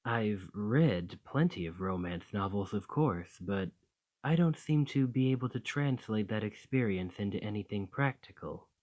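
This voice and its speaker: Bashful romantic dragon, played by a man, ASMR roleplay: unhurried, lowish voice, doting, hesitant, tender. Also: animated, a little mellow. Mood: flustered, embarrassed. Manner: flustered, hesitant, tender, with sighs and stammers.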